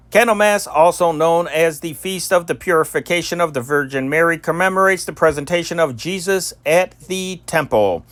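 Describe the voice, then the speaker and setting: A man reading old newspaper stories as scripted news, in a deliberate announcer-like delivery with occasional stumbles. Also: a little high, fairly bright tone, dry recording. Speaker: amateur newsreader and channel host.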